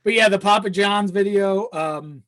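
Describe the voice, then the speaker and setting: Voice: mid-range voice, moderate pace, fairly bright tone. A podcast guest chatting casually in an informal, sweary and self-deprecating way. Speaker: video editor.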